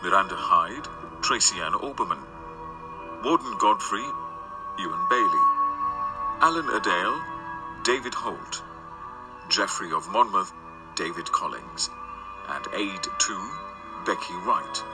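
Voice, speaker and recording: A man's voice: sits low, animated, slow, long pauses. A man reading scripted closing credits as a radio drama announcer. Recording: music behind the voice.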